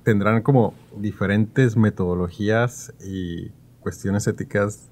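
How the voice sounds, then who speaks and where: Low-voiced man, thoughtful podcast host. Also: fairly even intonation, a little slow, a little mellow. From Mexico. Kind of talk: casual podcast conversation. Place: small padded podcast studio. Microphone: studio mic on stand.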